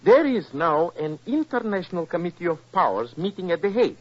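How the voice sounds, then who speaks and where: Political emissary, grand and insistent, high-ish animated voice, muffled old radio drama sound. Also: male voice, brisk. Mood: earnest, urgent. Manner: formal, grandiloquent, stilted phrasing. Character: florid, emotional, insistent male foreign political emissary. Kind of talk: 1940s radio acting. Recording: muffled, band-limited old radio recording.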